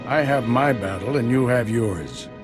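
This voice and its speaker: A man's voice: medium pitch, expressive, unhurried, over loud music, close to an everyday voice. Actor as authoritative male Jedi master. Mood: solemn, firm. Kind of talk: video game dialogue acting.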